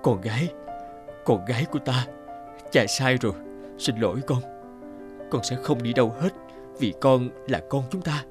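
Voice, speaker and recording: An actor playing an apologetic, heavy-hearted village chief and father in an animated folk tale. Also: medium pitch, normal speed, pauses often, over music, natural voice. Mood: sorrowful, apologetic.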